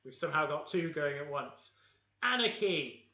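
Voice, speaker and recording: Bright, high-voiced Welsh man leading kids. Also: animated, normal speed. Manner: upbeat, encouraging, playful. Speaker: host of a kids' baking livestream. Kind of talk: leading a live baking session with a game of I Spy. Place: home kitchen. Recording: echoey room, dull lo-fi stream audio.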